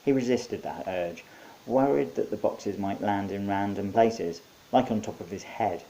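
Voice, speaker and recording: A man's voice: on the low side, a little slow, creaky, mellow, low-quality recording. A man narrating his own short story in a wry, conversational storytelling manner. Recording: faint background hiss.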